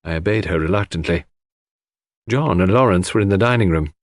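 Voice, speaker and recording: A man's voice: low-pitched, a little fast, no echo, studio quality. A man narrating a first-person mystery audiobook in a dramatic storytelling manner.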